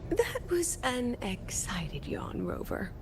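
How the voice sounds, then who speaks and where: A woman playing a gentle, composed female professor in a video game, speaking softly and in measured phrases. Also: on the high side, huge pitch swings, gravelly, background noise, full character voice. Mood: calm, teasing.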